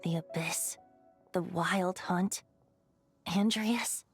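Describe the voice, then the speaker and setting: A woman's voice: fairly low pitch, very slow, husky, faint music behind, exaggerated voice. A woman voicing a playful, whimsical young male bard in a video game, with a lilting, theatrical manner. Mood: wistful.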